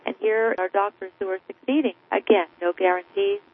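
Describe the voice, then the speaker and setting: A woman guest on a radio interview, making her case with emphatic, crusading conviction. Her voice comes through a narrow, tinny, phone-like line that strips away the lows and highs. Her pitch sits at a middling level for a woman. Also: very animated, brisk. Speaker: author and guest.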